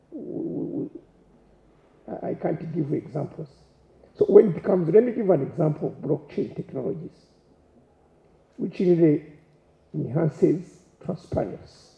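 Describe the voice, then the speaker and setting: Man from Tanzania, panelist, hesitant, gravelly, muffled sound. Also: a little high. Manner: hesitant, searching for words. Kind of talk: panel discussion talk. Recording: echoey hall, dull sound.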